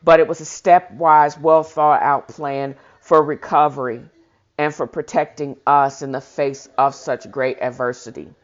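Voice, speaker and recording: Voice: medium pitch, steady tone, a little slow. A person reading a historical speech aloud with informal commentary, stumbling now and then and correcting themselves, in a dull, lo-fi recording. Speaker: host of a reading show. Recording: dull lo-fi sound.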